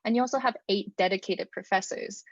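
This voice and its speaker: A young woman speaks on a video call, relaxed and chatty, filling her pauses with "um" and "like". Her voice sits at an ordinary pitch. The audio is dry and a little dull. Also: no echo. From Canada (Alberta). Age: young adult. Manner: casual, many fillers and "like". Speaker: student presenter. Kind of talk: online presentation talk. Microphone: computer mic on a video call.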